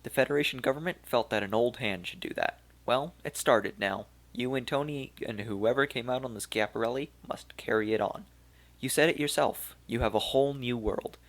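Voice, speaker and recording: A man voicing an elderly, weary archaeologist of Turco-German origin, speaking slowly and regretfully in a gravelly voice. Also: medium pitch, close to an everyday voice. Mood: resigned, fatherly. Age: older.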